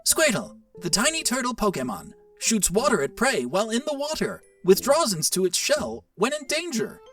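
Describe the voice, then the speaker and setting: A man's voice: high voice, huge pitch swings, moderate pace, a bit bright, far from the mic, faint music behind, heavily acted. A man voicing an electronic encyclopedia device in a game, reciting facts in a formal, impersonal announcing manner. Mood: informative. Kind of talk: game narration.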